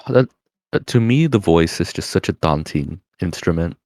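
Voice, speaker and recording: Deep low male voice, soft-spoken, mumbly casual chat, dry mic. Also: lively intonation, moderate pace, no room sound.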